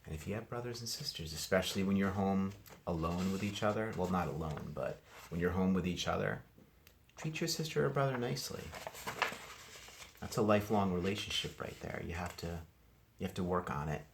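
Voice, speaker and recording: Male read-aloud teacher, very deep voice, casual and simple explanations pitched at first graders. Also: lively intonation, brisk, a little hiss or hum. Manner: explains pictures and words simply for young children. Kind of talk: children's picture book read-aloud with casual commentary.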